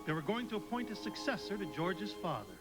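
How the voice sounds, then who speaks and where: Lively, high-voiced heavenly storyteller. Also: male voice, fast. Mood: solemn storytelling. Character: celestial storyteller recounting a man's life to an angel. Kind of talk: radio play narration. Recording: loud music under the voice.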